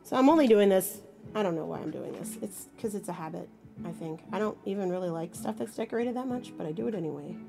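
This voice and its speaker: A hoarse woman with a husky voice, sick with a chest illness, rambling offhandedly while crafting on a live stream. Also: mid-range voice, animated, fast, dark tone, faint music behind. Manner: rambling, offhand, self-deprecating. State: hoarse, sick with bronchitis and pneumonia. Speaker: mixed media artist streaming live. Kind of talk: casual livestream chat while crafting.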